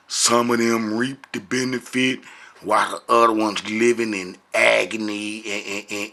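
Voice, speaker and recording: A man's voice: mid-range voice, vocal fry, bright, forceful tone. A man giving impromptu religious commentary, preaching emphatically and repeating phrases for stress. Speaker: online religious teacher.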